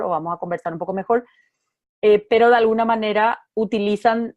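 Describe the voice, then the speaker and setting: Hoarse woman lecturing over a video call. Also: mid-range voice, a little mellow, dry recording. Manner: thoughtful, many fillers and restarts. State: hoarse, recovering from voice loss. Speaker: lawyer giving an online talk. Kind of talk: informal lecture to a class. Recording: dull, dry video call audio.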